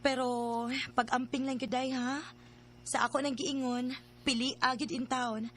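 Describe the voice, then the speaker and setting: An actress with a Filipino Cebuano accent playing a streetwise, teasing, chatty veteran bar worker in an audio drama. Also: female voice, sits high, level tone, measured pace, fairly bright tone, a little hiss or hum. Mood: advising. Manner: chatty, teasing, interjection-heavy. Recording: light music under voice.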